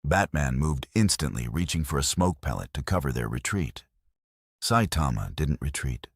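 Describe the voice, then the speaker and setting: A man's voice: very deep voice, expressive, moderate pace, slightly rough, dry recording, studio quality. A man narrating an action audio novel in a dramatic storytelling style.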